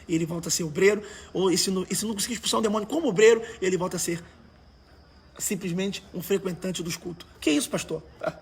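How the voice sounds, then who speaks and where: High-pitched, raspy Brazilian preacher man. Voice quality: hoarse, raspy. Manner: emphatic, exhorting, colloquial, with rhetorical questions. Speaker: evangelical pastor. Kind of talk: informal livestream sermon.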